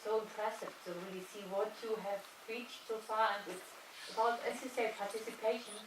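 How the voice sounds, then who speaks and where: A woman's voice: mid-range voice, fairly even intonation, a little fast. A woman moderating a conference session, thoughtful and full of filler sounds. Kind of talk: panel moderation. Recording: echoey hall, distant mic, loud noise.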